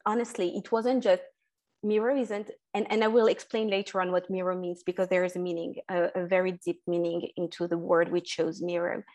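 Woman, low, halting speech. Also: little pitch movement, a little mellow. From France. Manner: halting, many restarts and fillers, laughs often. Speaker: guest, food entrepreneur. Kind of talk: casual interview.

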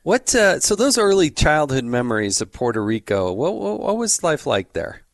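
Halting male interviewer, dry sound. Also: a little high, big pitch swings, no echo. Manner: halting, repeats words, warm questions. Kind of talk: conversational interview.

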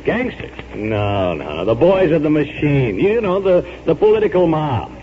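Dull old radio recording; curt, wisecracking newspaper editor, very animated pitch. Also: male voice, normal speed, a little hiss or hum. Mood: dismissive. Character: brusque, sarcastic, busy newspaper editor, a man. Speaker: supporting radio actor. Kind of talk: 1940s radio acting.

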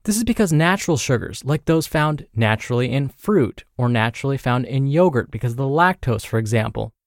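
Lively American man presenting, close dry sound. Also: medium pitch, brisk, dry recording. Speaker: podcast host and nutrition teacher. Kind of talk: scripted narration and spoken commentary.

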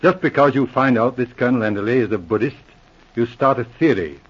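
Guy doing a calm no-nonsense mountie sergeant, dry teasing, husky voice, dull old radio sound. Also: medium pitch, a little fast, lightly acted. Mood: dry, patient. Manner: patient, dryly teasing. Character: methodical, dry-witted male Mountie sergeant. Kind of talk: 1940s radio acting.

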